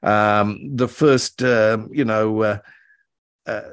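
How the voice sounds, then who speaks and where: An older man around seventy, reminiscing in an interview in a halting way, repeating words as he thinks. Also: fairly low pitch, steady tone, smooth, no room sound. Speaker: guest expert, author and voice actor. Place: indoor room by a window, video call.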